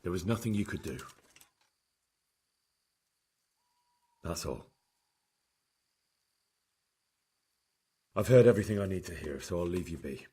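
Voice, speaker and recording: A determined young investigator from a medieval video game. His voice sits fairly low with a husky edge. He speaks directly and plainly, probing in a matter-of-fact way. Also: expressive, fast talker, mellow. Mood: sympathetic, reserved. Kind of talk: dramatic game cutscene acting.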